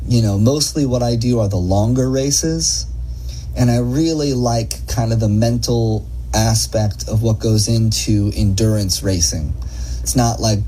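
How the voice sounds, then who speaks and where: A man's voice: fairly low pitch, dark tone, a little hiss or hum, rough recording. A man speaking casually as an interview guest, explaining things in an earnest, enthusiastic, rambling way. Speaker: interview guest, gondolier and athlete.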